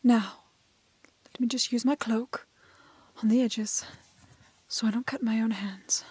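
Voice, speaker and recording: A woman playing a prim, plucky, kind-hearted young woman in a fairy-tale roleplay, with soft voice and proper, old-fashioned phrasing. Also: on the high side, steady tone, a little slow, slightly rough, no echo. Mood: gentle, careful. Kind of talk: romantic fantasy roleplay audio acting.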